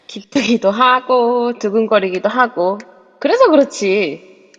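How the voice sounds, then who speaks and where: A woman's voice: fairly high pitch, slow. A woman playing an eager, skeptical young woman hunting for a scoop in an amateur audio drama. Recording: dull reverb-heavy online audio.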